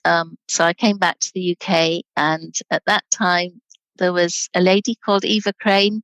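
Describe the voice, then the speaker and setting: A woman in her sixties, originally from Scotland, chatting relaxed as a guest over a video call and laughing easily. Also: fairly low pitch, moderate pace, dry recording, poor audio. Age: 60s. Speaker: guest, charity director. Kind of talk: casual interview chat.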